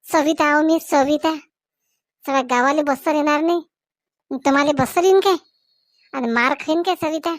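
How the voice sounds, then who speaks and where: A woman's voice: sits high, normal speed, pauses often, no room sound, studio quality, natural voice. A woman voicing a combative, scolding village wife and mother-in-law in a comedy cartoon, with a Varhadi Marathi accent and melodramatic, exclamatory delivery. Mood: furious, defiant. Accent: Varhadi Marathi from Vidarbha.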